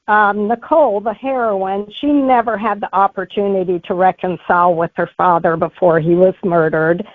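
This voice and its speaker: A woman's voice: mid-range voice, no echo. A woman being interviewed as an author, giving conversational answers over a thin phone-like connection. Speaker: author guest.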